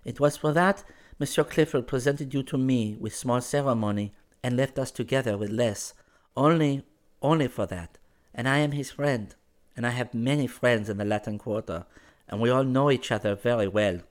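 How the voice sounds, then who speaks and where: A young woman of the bohemian set, acted out by a male narrator, speaks in a lifted, high voice. Her phrasing is formal and careful, hesitant and guarded, with very lively rises and falls, as in a period storybook romance. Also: moderate pace, close to an everyday voice. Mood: feverish. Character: young French woman, shy, secretive, feverish bohemian.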